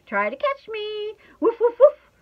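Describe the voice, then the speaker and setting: A woman acts out an eager, boastful little boy dog from a children's storybook. The voice leaps very high and swings widely, with exuberant yelping barks breaking up the phrases. The recording is slightly dull. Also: huge pitch swings, unhurried, exaggerated voice. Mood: playful. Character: excitable, boastful little male pet dog, eager and barking. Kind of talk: children's audiobook character voice.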